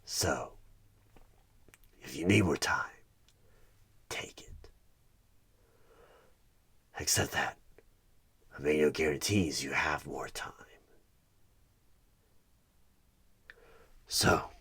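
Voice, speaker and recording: Evil tempter, extremely low pitch, very husky and breathy, menacing, smug, horror ASMR roleplay, dramatic pauses. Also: male voice, a bit bright, exaggerated voice. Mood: mocking, ominous. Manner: slow, deliberate, dramatic pauses, often dropping into whispers.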